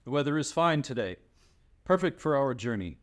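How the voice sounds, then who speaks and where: A man's voice: mid-range voice, expressive, normal speed, natural voice. A man playing a proud, grave dragon-shifter king in a screenplay table read, with a formal, stately delivery. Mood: insistent, formal.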